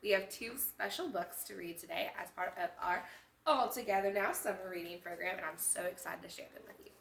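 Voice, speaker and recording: A woman's voice: on the low side, a little fast, creaky, a bit bright, a little hiss or hum. A woman hosting a children's storytime as a librarian, enthusiastic, reading a picture book and putting questions to young listeners. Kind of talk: animated picture-book read-aloud for children. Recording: echoey room sound.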